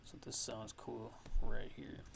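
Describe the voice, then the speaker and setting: Male ASMR tutorial host, low and monotone, casual and halting, unscripted feel, noisy recording. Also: vocal fry. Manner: halting, loose, unscripted explaining. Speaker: music production tutorial host.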